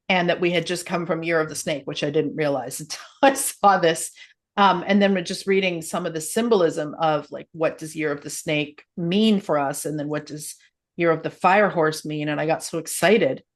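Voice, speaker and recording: A woman hosts an informal podcast in a slightly low-pitched voice. She talks in a chatty, expressive way, as in a relaxed conversation with a guest. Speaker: podcast host.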